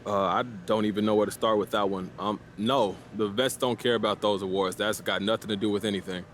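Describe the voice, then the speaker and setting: A man's voice: fairly low pitch, fairly even intonation, a little fast, a bit bright, a little hiss or hum, natural voice. A man playing a young, easygoing but guarded basketball rookie in a video game, answering the press in a casual, hesitant, diplomatic way.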